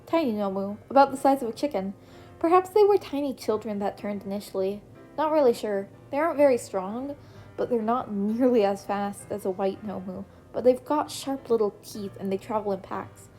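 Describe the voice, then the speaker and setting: Cocky young vigilante guy, woman reading him, breezy and sure of himself, mellow tone. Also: mid-range voice, moderate pace, soft background music, lightly acted. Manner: breezy, self-assured. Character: cocky young man vigilante turned hero.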